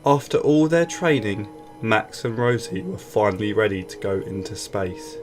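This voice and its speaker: A man reading a scripted children's story aloud in an expressive storytelling style. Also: on the low side, moderate pace. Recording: music bed behind voice. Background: background music.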